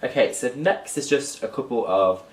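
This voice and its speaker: A man's voice: medium pitch, expressive, normal speed, far from the mic. A young man with a slightly blocked, nasal voice, chatting casually to camera in a clothing haul vlog. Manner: chatty, talks to camera while holding up clothes. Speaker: fashion vlogger. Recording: echoey room, voice off-mic.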